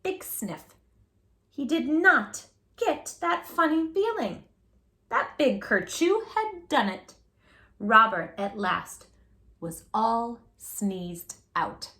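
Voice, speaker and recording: A woman reading a children's picture book aloud as a storytime host, theatrically, with drawn-out words and little asides to the listener. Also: fairly high pitch, bright, forceful tone.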